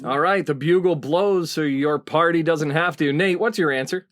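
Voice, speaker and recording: A man's voice: on the high side, moderate pace, fairly bright tone. A middle-aged man hosting a comedy panel game in an announcer-like way, on a video call. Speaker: game show host.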